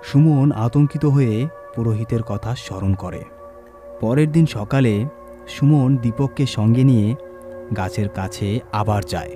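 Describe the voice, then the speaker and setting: Mellow male horror storyteller over music. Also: medium pitch. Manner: measured, suspenseful storytelling. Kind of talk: scripted dramatic narration.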